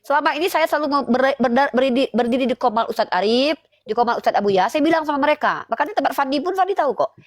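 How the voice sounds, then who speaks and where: High-pitched insistent woman debating. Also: expressive, racing. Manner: insistent, argumentative, repeats questions. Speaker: online religious debate host. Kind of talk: live informal religious debate.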